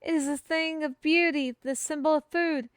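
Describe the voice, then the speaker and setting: A woman playing an excitable, earnest kingdom messenger in a fantasy audio roleplay, with theatrical proclaiming and formal old-fashioned wording. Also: high voice, moderate pace, no room sound, studio quality, voice pushed up, natural voice. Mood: excited, proclaiming. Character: excitable, earnest female kingdom messenger bearing a food prophecy. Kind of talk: fantasy audio roleplay, speaking to one listener.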